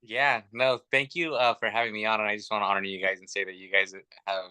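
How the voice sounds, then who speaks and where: Man, late thirties, podcast guest; fairly low, bright voice, earnest and chatty, dry close sound. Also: flat delivery, fast talker, clean tone, no echo. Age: 30s. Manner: earnest and chatty, with many fillers. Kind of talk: casual chat.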